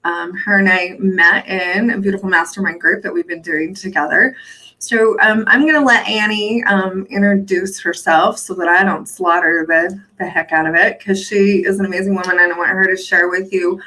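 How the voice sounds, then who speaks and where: A woman hosting a live show, introducing her guest with excited, casual talk full of fillers. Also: medium pitch, normal speed, rough recording. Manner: enthusiastic, filler-heavy introductions.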